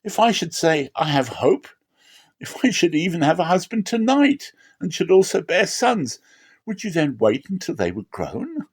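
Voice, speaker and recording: An aged, bereaved mother-in-law played by a man in a dramatic scripture reading. The voice is lifted high and moves widely in pitch. The delivery is plaintive and emphatic, full of grief and bitterness. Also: sing-song, moderate pace, slightly rough, full character voice. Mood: pleading, sorrowful. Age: older. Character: grieving, bitter old widow Naomi, pleading with her daughters-in-law.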